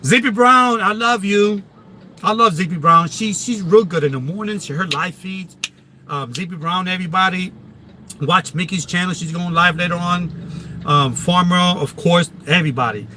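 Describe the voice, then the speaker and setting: A man's voice: high-pitched, moderate pace, bright, forceful tone, slight background noise. A man with a Philadelphia accent on a live stream, talking casually and emphatically, repeating himself and restarting sentences. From Philadelphia, USA. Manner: emphatic and repetitive, many restarts.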